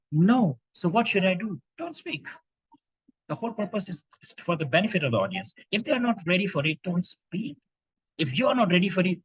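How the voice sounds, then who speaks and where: A man from India giving an animated talk on impromptu speaking to a club, with emphatic rhetorical questions over a video call. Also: a little high, slightly rough, dry recording, lo-fi sound. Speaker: speaking coach. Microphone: video call microphone.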